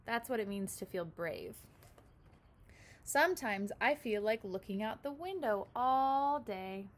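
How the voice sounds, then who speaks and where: A woman's voice: medium pitch, lively intonation, unhurried. A woman in her twenties, a pre-kindergarten teacher, reading a picture book aloud and explaining it simply and expressively with questions for young children. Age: 20s. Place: small room at home, indoors.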